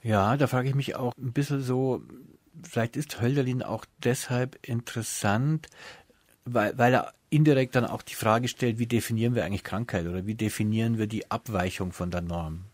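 A man interviewing a guest in a conversational cultural radio style, asking measured, inquisitive questions. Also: mid-range voice, steady tone, darkish tone, no echo.

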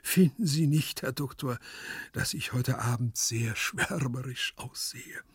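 A man's voice: medium pitch, a little slow, a little mellow, husky, dry recording, clean studio sound. A man voicing a corpulent, lovesick storybook nobleman who sighs and declaims with theatrical sentimentality. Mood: swooning. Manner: pathetic declamation, sighing, swooning.

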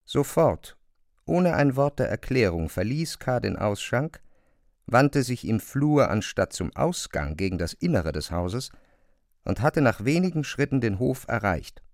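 A man narrating a literary audio drama with measured, precise phrasing. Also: medium pitch, very animated, no room sound, clean studio sound.

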